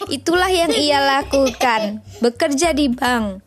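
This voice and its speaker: A woman's voice: high-pitched, lively intonation, takes it slowly, a crowd in the background. An Indonesian woman reading a children's storybook aloud as a mother, expressively and with informal asides. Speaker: mother reading a bedtime story, podcast host.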